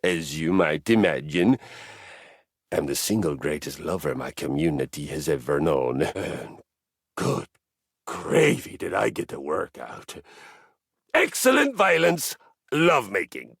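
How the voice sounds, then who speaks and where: An actor voicing a grandiose, zealous treadmill personified in a video game, telling sagas in a pompous, theatrical way with deadpan asides. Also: male voice, rumbling low, measured pace, vocal fry, pauses often, husky, no echo, clean studio sound, full character voice. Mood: boastful, lustful. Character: zealous, grandiose treadmill deity, boastful lover of violence. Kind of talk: dramatic video game character monologue.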